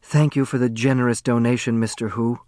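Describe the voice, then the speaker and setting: A man's voice: medium pitch, little pitch movement, brisk, a little mellow, no room sound, clean studio sound, natural voice. A man voicing a worn, frail, pious woman who speaks with solemn gratitude in a storybook.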